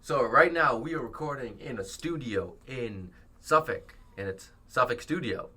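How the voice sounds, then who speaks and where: A man's voice: mid-range voice, lively intonation, pushed and bright, breathy edge. A young man hosting a casual student podcast in a small studio, rambling and joking, his voice a bit worn from being sick. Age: young adult. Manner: rambling, jokey, quick laughs. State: losing his voice from illness. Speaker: podcast host. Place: small college radio studio.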